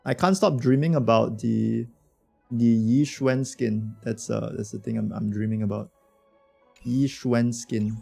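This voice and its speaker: Hoarse slangy streamer guy. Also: mid-range voice, steady tone, unhurried, darkish tone, faint music behind. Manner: slangy, rambling, talks to chat. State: hoarse, losing his voice. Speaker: game streamer. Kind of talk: casual livestream chat reacting to a game.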